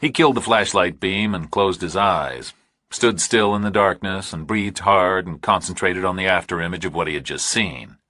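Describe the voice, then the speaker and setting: A man reading a scripted thriller audiobook with measured, clipped, dramatic phrasing. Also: deep voice, bright, forceful tone, breathy edge, no echo. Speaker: audiobook narrator.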